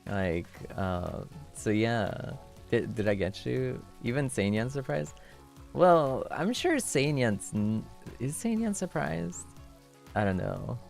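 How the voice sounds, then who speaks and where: A young man streamer chatting casually with viewers, laughing often and thanking them warmly, moving between a low relaxed voice and a higher one. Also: takes it slowly, creaky, soft background music. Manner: shifts between low chill voice and high voice.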